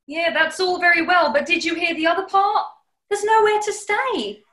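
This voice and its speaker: Woman acting sceptical British housemaid, practical, bright piercing voice, 1940s radio comedy, echoey room. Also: very high-pitched, fairly even intonation. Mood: indignant, practical. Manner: indignant, brisk, practical. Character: plucky no-nonsense British housemaid, sceptical and blunt.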